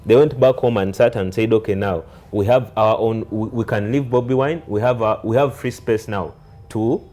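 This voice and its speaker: A man interviewing conversationally, repeating words haltingly as he forms his points. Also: lowish voice, dark tone.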